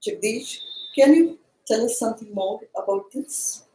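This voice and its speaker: A woman hosting a book study, speaking in a measured way as she sums up points and poses questions. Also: on the high side, lively intonation, warm tone. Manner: measured, summarizing and posing questions. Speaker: study session host. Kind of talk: guided book study discussion. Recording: echoey, roomy sound.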